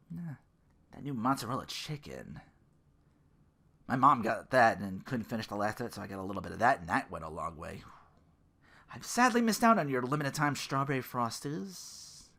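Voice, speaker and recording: Animated podcast host in their thirties, bright voice. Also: medium pitch, creaky. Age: 30s. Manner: sarcastic, profane, mocking. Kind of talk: casual comedic rant.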